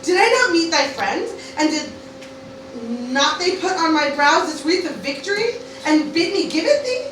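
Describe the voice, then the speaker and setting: Woman doing earnest teen girl, high bright voice, mournful shakespeare lines, big echo. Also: lively intonation, normal speed. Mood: grief-stricken, resolute. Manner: dramatic, lamenting declamation. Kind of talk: amateur Shakespeare parody radio play. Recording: very echoey, distant mic, faint noise.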